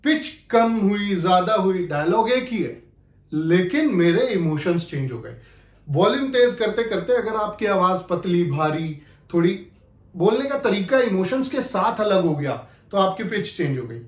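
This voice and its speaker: A man's voice: high-pitched, animated, unhurried, echoey room. A man giving an instructional talk as an acting coach, explaining emphatically. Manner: explanatory, emphatic, repeats key phrases to demonstrate.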